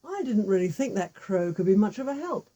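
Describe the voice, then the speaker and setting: A woman's voice: medium pitch, sing-song, a little fast, warm tone, dull audio. A woman voicing a practical, sceptical male traveller in a folk tale, in a musing manner. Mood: pleased, confiding.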